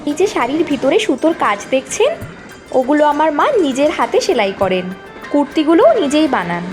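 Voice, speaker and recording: A young college student in a cartoon story. Her voice is high and swings expressively. She sounds sincere, hardworking and politely explanatory, with music behind her. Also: lively intonation, a little fast. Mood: polite, steady. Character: earnest, hardworking young college woman of modest means. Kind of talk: Bengali animated story dialogue.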